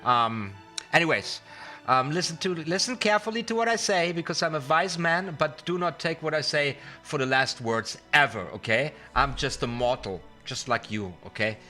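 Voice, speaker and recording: A man's voice: on the high side, normal speed, pushed and bright. A man hosting a casual card magic livestream, talking directly to his viewers in a rambling way and repeating words for emphasis. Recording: music behind the voice. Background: music.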